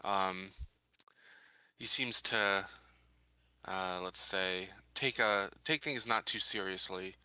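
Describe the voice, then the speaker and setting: A man playing a tabletop game, speaking casually and in character in a measured, hesitant manner. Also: deep voice, pushed and bright, clean tone, no echo. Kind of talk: casual in-game chat and role-play.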